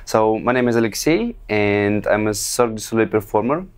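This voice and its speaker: A man's voice: fairly low pitch, expressive, fairly quick, clear, resonant tone. A young man with a Russian accent, a guest telling his story in an interview. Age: young adult. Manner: friendly, storytelling. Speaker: guest performer. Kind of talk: interview answers.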